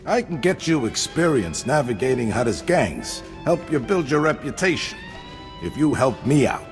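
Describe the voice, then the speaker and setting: An actor playing a weary, streetwise ex-gangster who talks colloquially in a video game cutscene. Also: male voice, medium pitch, big pitch swings, moderate pace, faint music behind. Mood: urgent, grim. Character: weary ex-gangster man, cautious streetwise older brother.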